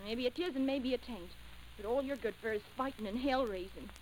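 A woman's voice: on the high side, fairly quick, a little hiss or hum, natural voice, rough recording. An actress playing a sweet but sassy young backwoods girl with a rural Southern US accent, in old radio drama style. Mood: indignant, sassy. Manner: animated, folksy backwoods dialect.

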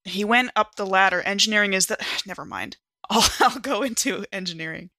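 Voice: mid-range voice, expressive, brisk, creaky, pushed and bright, no echo. A player in a tabletop game, casually describing her character's actions and laughing often.

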